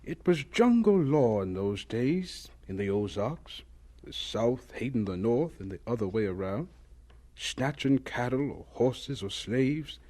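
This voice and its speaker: An actor playing a dignified, wry old American scientist who reminisces in a deliberate, folksy way in a radio drama. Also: male voice, mid-range voice, sing-song, mellow. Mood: reminiscing, wry. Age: older.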